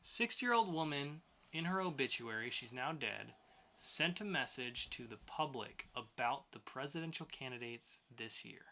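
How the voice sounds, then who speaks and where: A man's voice: mid-range voice, lively intonation, moderate pace, creaky, bright, forceful tone, a little hiss or hum, rough recording. A man co-hosting a podcast in casual banter, speaking loosely and swearing freely. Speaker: podcast co-host.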